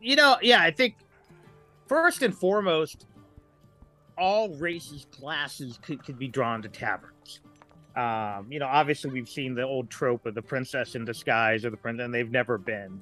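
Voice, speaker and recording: A man's voice: mid-range voice, bright, forceful tone. An American man in his late forties chatting casually as a podcast guest, in a rambling storyteller's way. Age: late 40s. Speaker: guest, writer.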